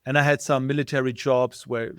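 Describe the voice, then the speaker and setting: A man's voice: medium pitch, little pitch movement, a little fast, smooth, dry recording, studio quality. A middle-aged man with a German accent, a guest in an interview, thinking aloud and searching for his words. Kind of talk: casual interview talk.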